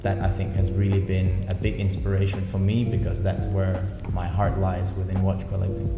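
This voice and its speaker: A man from Denmark, founder of a watch brand, speaking in a measured, thoughtful way in an interview in an office. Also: deep voice, monotone, lo-fi sound. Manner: measured, thoughtful, many ums. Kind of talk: relaxed interview conversation. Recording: echoey office with music bed.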